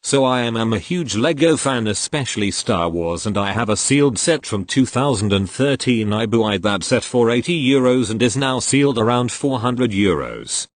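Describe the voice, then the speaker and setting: A man reading online posts aloud as the regular reader of the channel, in an expressive storytelling way. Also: mid-range voice, moderate pace, hardly any pauses, dry recording.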